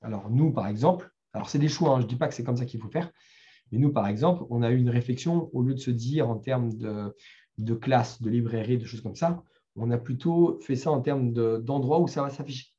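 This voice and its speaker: Man giving a relaxed coding talk. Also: mid-range voice, fairly quick, highs cut off. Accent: French. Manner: loose, conversational, many fillers and restarts. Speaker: meetup speaker. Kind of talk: live talk with screen demo.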